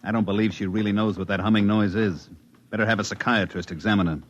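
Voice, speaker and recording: Actor as a fast-talking, brusque security agent. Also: male voice, on the low side, steady tone, muffled recording, lightly acted. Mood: stern, pressing. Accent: American. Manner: clipped, authoritative, insistent. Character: brusque, hard-nosed government security agent interrogating a witness. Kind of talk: 1950s radio drama acting. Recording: vintage 1950s radio broadcast.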